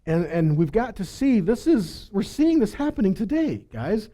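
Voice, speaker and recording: A man delivers a teaching sermon in a high-pitched voice with a soft, mellow tone. He moves between reading scripture and explaining it, often posing rhetorical questions and checking in with his listeners. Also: sing-song, normal speed. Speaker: Bible teacher.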